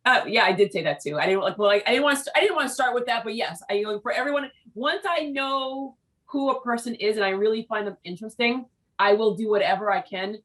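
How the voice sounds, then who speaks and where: A woman's voice: on the high side, fast, a bit bright, low-quality recording. A woman from the United States hosting an interview show, bubbly and talkative, restarting her sentences often. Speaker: show host. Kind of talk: casual interview chat.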